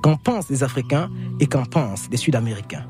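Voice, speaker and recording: A man hosting a radio show from a studio, presenting in a measured way with frequent hesitations. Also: mid-range voice, lively intonation, a little mellow. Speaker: radio host. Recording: radio broadcast with music behind. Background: music.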